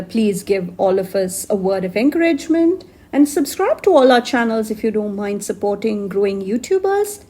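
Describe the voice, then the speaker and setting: Woman crafter, slightly hoarse from a cold, mellow voice, slow halting voice-over narration. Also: medium pitch. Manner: slow, halting, many fillers and restarts. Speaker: craft YouTuber.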